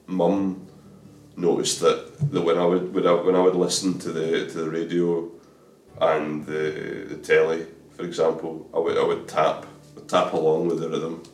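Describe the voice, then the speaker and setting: A man's voice: sits low, monotone, a little slow, a little mellow. A Scottish man, a drummer, chatting candidly in an interview with frequent stammered restarts. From Scotland. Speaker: drummer guest. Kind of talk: casual interview chat. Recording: echoey room from a distance.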